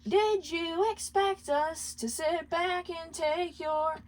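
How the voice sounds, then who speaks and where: A woman's voice: very high-pitched, steady tone, takes it slowly, pitched above the performer's own voice, poor audio. A woman voicing a foul-mouthed, scolding male demon boss in a fan fiction reading, demanding and theatrical, often singing. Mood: scolding in song. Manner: demanding, theatrical, breaks into song.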